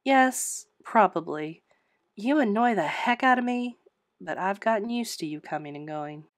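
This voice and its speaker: A woman voicing a flustered but firm middle-aged female innkeeper in a storybook, brisk and emphatic. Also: medium pitch, animated, no room sound, clean studio sound, lightly acted. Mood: exasperated.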